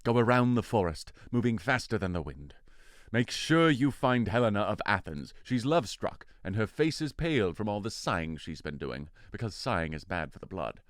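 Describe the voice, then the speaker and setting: A man's voice: on the low side, lively intonation, moderate pace, dry recording, clean studio sound, natural voice. A man playing an imperious, scheming fairy king in an audio drama, with a commanding and dramatic delivery. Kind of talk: theatrical audio drama acting.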